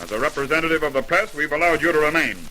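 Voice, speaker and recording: A man's voice: fairly high pitch, racing, sharp tone. A man playing a domineering, brusque airline general manager in 1930s-style radio drama acting. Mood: angry. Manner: brusque, demanding, blustering. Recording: noisy old radio recording.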